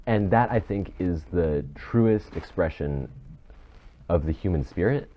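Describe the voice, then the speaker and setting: A man co-hosting a podcast, earnest and halting as he reflects in casual discussion, choked up and close to tears. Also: sits low, animated. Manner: earnest, halting, searching for words. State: choked up, tearful. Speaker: podcast co-host. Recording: muffled, dull microphone.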